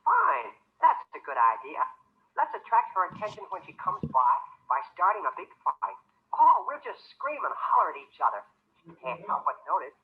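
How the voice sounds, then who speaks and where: A thin old radio recording carries a teasing, excitable young man. His sarcastic comedy delivery leaps wildly up and down in the 1930s manner. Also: mid-range voice, normal speed. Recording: tinny, band-limited 1930s radio recording.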